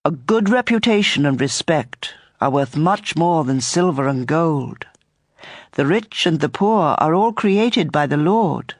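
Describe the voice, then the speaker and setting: A narrator reading scripture in a measured style for a dramatized audio Bible. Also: mid-range voice, animated, dry recording, studio quality. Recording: music bed under voice. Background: instrumental music.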